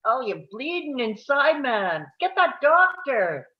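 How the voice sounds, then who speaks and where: A man's voice: extremely high pitch, big pitch swings, takes it slowly, heavily acted. Dull-sounding, urgent boxing cornerman, acted by a man. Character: gruff, worried male boxing cornerman. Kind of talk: table read acting of a film script.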